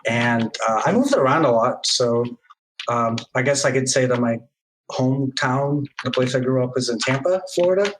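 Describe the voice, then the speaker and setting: Relaxed Brazilian-born man, noisy audio. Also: mid-range voice, normal speed. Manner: relaxed, hesitant, many fillers. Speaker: interview guest. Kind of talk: casual conversational answers.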